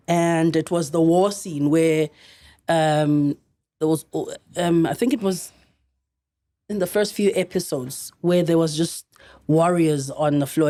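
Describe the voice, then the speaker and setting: A South African woman in middle age, guest on a podcast, speaks in a lowish, mellow voice. She is earnest and halting, often breaking off and restarting as she tells her story. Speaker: actress guest.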